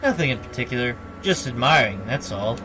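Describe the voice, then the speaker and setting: A man voicing a smug, aloof young man in a video game, talking in a measured, condescending way. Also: medium pitch, sing-song, noisy background, natural voice. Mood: smug, cool. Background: game music.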